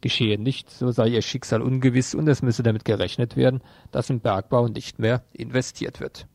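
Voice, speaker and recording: A man's voice: medium pitch, steady tone, moderate pace, vocal fry, a little mellow, dry recording. A man presenting radio news, reading written reports in an informal programme. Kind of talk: read news bulletin with brief informal asides.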